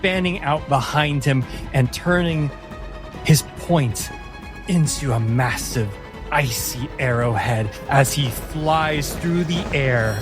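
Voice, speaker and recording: A man with a medium-pitched voice runs a tabletop fantasy battle as game master. He narrates slowly and dramatically, with vivid, deliberate description. Music plays clearly behind him.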